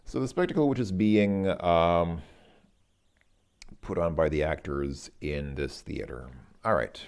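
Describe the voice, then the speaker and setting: A man with a very deep, gravelly voice walks through a language lesson informally. He speaks slowly and haltingly, sounding words out and thinking aloud as he translates. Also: a little mellow. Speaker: host working through a Russian lesson as a learner.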